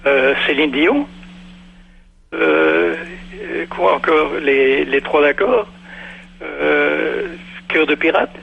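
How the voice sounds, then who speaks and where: A man's voice: fairly high pitch, drawn-out words, vocal fry, pauses often, husky, narrow, tinny audio. A man calling in to a radio talk show, speaking in a haughty, lecturing way. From Europe. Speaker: radio caller. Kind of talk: phone-in radio debate.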